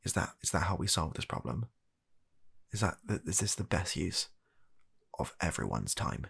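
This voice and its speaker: A man acts out a weary British doctor in a comedy ASMR roleplay. His deep voice is gravelly and swings widely in pitch. He sounds reluctant and exasperated, picking his way haltingly through deadpan, soft-spoken remarks. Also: exaggerated voice. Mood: sarcastic, weary. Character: weary, reluctant, baffled male doctor humouring an absurd patient.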